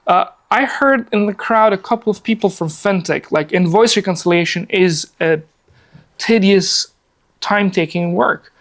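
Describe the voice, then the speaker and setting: Upbeat man from Afghanistan presenting. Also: high voice. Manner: chatty, upbeat, many fillers like "alrighty". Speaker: workshop presenter. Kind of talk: casual live presentation. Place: meeting room, seated at a laptop.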